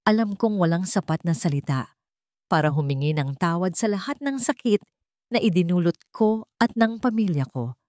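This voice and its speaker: A woman's voice: fairly low pitch, expressive, moderate pace, a little mellow, no room sound, studio quality, close to an everyday voice. A woman voicing a wounded, resolute young man in an audio love drama, solemn and earnest. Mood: remorseful, earnest. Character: wounded, resolute young man confronting his criminal father.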